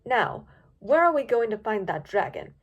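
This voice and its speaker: A woman's voice: mid-range voice, very animated, moderate pace, dull audio. A woman voicing a stern, haughty female pony sorceress who is easily exasperated. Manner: imperious, exasperated, clipped.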